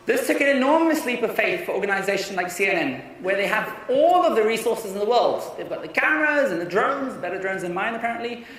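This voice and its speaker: A young man giving a conference talk in an energetic, persuasive way, often restarting his sentences. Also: high voice. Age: young adult. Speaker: conference speaker. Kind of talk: keynote talk. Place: large conference hall. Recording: echoey hall from far off.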